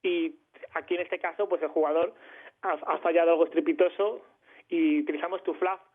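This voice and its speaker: A man's voice: fairly high pitch, fairly even intonation. A man co-hosting a bilingual radio show, thinking aloud and guessing at English football idioms, mixing Spanish and English. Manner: quick, thinks aloud, lots of hedging fillers. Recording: tinny phone-line sound.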